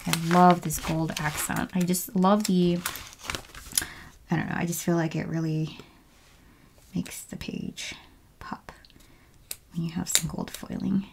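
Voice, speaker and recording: A woman's voice: lowish voice, fairly even intonation, measured pace, gravelly, long pauses, background noise. A woman chatting casually as she crafts at home, musing to herself and often trailing off into murmurs. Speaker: planner video host. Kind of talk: casual crafting chat, narrating as she works. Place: at home, indoors.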